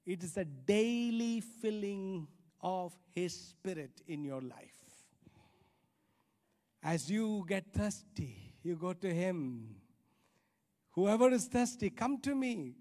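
High-pitched male preacher. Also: animated, takes it slowly, darkish tone. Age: middle-aged. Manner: emphatic, repeats key phrases, rhetorical tag questions. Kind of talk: sermon. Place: large church auditorium stage, indoors. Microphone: handheld microphone.

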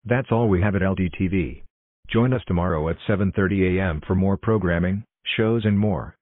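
A man's voice: low-pitched, fairly quick, no room sound, narrow, tinny audio. A man reading scripted television announcements as a channel announcer and newsreader.

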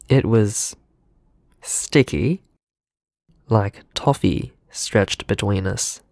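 An actor playing a gentle, earnest man, halting and deliberate, confiding shaken in a friend in an audio drama voicemail. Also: on the low side, creaky, no room sound, clean studio sound, full character voice.

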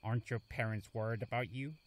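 An actor playing a gruff, lonely male forest beast in a cartoon, curt and dryly dismissive. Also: medium pitch, fairly even intonation, fast talker, lightly acted. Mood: dry, guarded. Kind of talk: animated fan drama acting.

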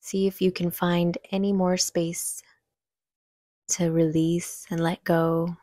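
A woman leading a guided meditation, giving gentle, unhurried instructions with long pauses between phrases. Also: on the low side, little pitch movement, dry recording, studio quality.

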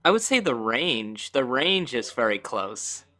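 A man co-hosts a casual podcast and earnestly walks the others through singing basics. His voice is at an everyday middle pitch. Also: sing-song, a little slow, pushed and bright. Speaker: podcast co-host.

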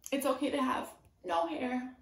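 A woman in her twenties reads a picture book aloud as a preschool teacher, pausing to put simple, encouraging questions to young children. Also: high voice, steady tone, gravelly. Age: 20s. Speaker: preschool teacher reading a storybook. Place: small room at home, indoors. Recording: roomy indoor echo.

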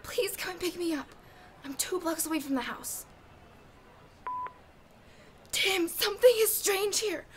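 A woman's voice: extremely high pitch, lively intonation, measured pace, long pauses, noisy background. A woman playing an anxious wife speaking to her husband in an audio drama. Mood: frightened.

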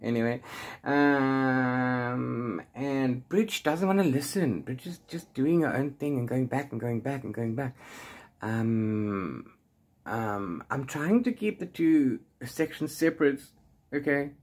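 A man in his forties giving a casual, unscripted book chat, rambling in an animated way with exasperated asides. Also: medium pitch, takes it slowly. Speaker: book reviewer vlogger. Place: small room at home with bookshelves.

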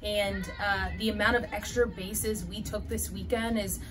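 A woman in her thirties, a head softball coach, answering postgame questions earnestly with many fillers, recorded indoors by a window. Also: mid-range voice, steady tone, measured pace, background noise. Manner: earnest, fillers and restarts, gestures while talking. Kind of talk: postgame press interview.

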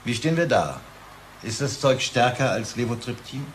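A man acts a self-important pharmaceutical boss in a radio play. He is impatient and market-minded, with a mid-pitched male voice over noticeable room ambience. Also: lively intonation, moderate pace, a little hiss or hum, natural voice. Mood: pushy. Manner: pompous, impatient, interrupting. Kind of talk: German radio drama acting. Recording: clear background room ambience.

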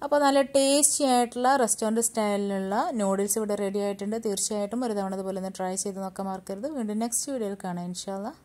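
A woman narrating a home cooking tutorial at a rapid clip, her voice sitting at an ordinary middle pitch. She explains each step plainly and briskly, like a host talking her viewers through the recipe, with English words woven into her speech. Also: a little mellow.

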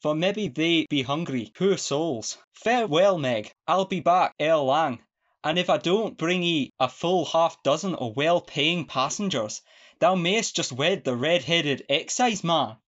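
A man's voice: on the high side, expressive, measured pace, fairly bright tone. A man acting a cheerful, sly young Scottish country lad in broad dialect for a farcical radio play. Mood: cheerful, reassuring. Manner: folksy, earnest, broad dialect. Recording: dull lo-fi home recording.

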